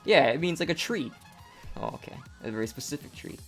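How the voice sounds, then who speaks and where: A woman doing casual play-by-play commentary on a fighting game tournament, talking excitably with many "like" and "uh" fillers. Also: deep voice, fairly quick. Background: game music playing.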